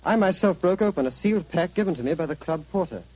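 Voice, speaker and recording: An actor playing a troubled, upright young army captain in a 1940s radio drama, earnest and courteous. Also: male voice, high-pitched, expressive, quick pace. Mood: agitated, earnest. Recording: dull 1940s radio recording.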